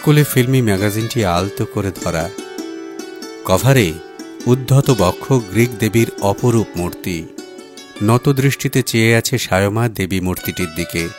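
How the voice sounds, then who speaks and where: A man's voice: lowish voice, a little slow. A man narrates a literary short story in a dramatic audiobook style. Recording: music bed under the voice. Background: background music.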